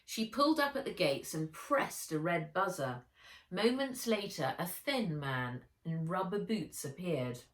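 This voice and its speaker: A woman's voice: fairly low pitch, expressive, measured pace, distant mic. Woman reading a kids adventure story, takes her time, roomy echo on it. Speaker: author reading own book. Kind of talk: expressive children's audiobook narration. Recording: roomy, echoey room sound.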